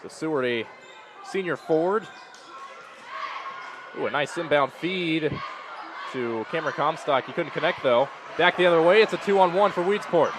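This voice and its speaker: A man's voice: a little high, lively intonation, normal speed, long pauses. A man giving live play-by-play commentary of a basketball game as the announcer. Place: indoor basketball gym during a game. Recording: loud gymnasium noise, bouncing basketballs.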